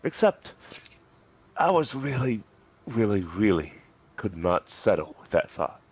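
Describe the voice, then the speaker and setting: Deep-voiced man reading dramatically, very animated. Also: slow, slightly rough. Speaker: narrator in a group reading. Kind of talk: dramatic storytelling read aloud.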